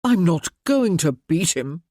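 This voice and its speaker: A man voicing a courteous, composed gentleman lord in a storybook reading. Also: mid-range voice, sing-song, a little slow, no echo, studio quality. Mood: calm, measured.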